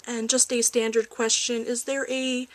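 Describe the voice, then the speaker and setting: A woman doing a soft-spoken ASMR roleplay as a calm research doctor, gentle, reassuring and a little hesitant, in a home recording. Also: fairly high pitch, moderate pace. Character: calm corporate research doctor giving a checkup. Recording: faint background noise, home ASMR recording.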